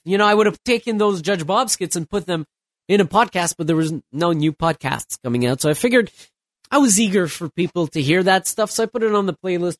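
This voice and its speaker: A man's voice: sits high, dry recording, clean studio sound. Stuffed-up man rambling on his podcast. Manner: rambling, loose, with many restarts and fillers. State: stuffed up, getting over a cold. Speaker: podcast host. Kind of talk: solo late-night podcast talk.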